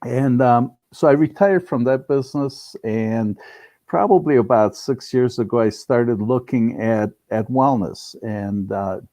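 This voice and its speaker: A man's voice: mid-range voice, unhurried, warm tone, dry recording. An older man, speaking conversationally and earnestly as an interview guest, often restarting his sentences. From Romania-born, raised in Cleveland, US. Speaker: interview guest, business founder.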